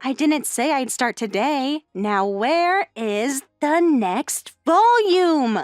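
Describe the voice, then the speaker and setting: A woman playing a poised, sharp-tongued rich high school girl in an anime-style manga dub, articulate and expressive. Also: takes it slowly, faint music behind. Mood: whiny, demanding.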